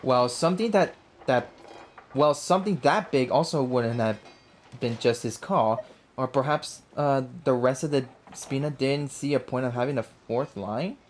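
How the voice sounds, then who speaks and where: A woman giving casual, reactive gameplay commentary as a video host, thinking aloud in a halting, repetitive way. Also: very deep voice, a little mellow, a little hiss or hum. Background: game music.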